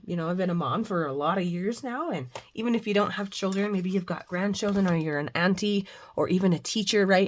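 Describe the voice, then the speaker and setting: Hoarse middle-aged woman host, lower voice, rambling casual chat with fillers. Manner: chatty, rambling with frequent fillers. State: hoarse, recovering from flu with a cough. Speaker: craft channel host. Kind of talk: casual devotional and crafting chat. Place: home craft room.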